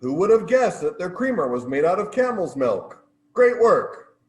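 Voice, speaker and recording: An actor playing a brusque, blunt newspaper boss in a stagey comedy play. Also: male voice, high-pitched, very animated, normal speed. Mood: hearty.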